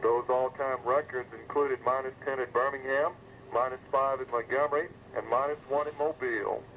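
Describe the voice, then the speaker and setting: Male weather radio announcer, scripted forecast read, narrow phone-like 1980s broadcast sound with faint noise. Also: medium pitch. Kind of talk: scripted weather bulletin reading.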